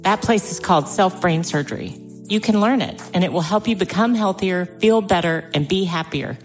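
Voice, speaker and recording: A woman's voice: fairly low pitch, moderate pace. A woman reading an inviting, scripted promo that opens a podcast. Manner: bright, inviting. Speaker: show announcer. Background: music.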